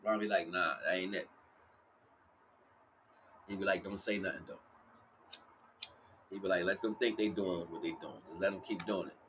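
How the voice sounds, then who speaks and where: A man's voice: low-pitched, steady tone, normal speed, long pauses, fairly bright tone, a little hiss or hum. A man giving a casual, freeform spiritual talk as a livestream host, repeating himself and often checking that listeners follow. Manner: repeats himself with frequent conversational check-ins. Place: outdoors.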